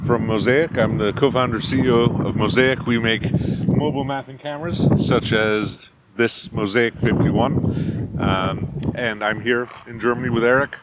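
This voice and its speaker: A man from the United States, a company founder, explaining a product in a steady technical interview with frequent filler sounds. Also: mid-range voice, normal speed, heavy background noise.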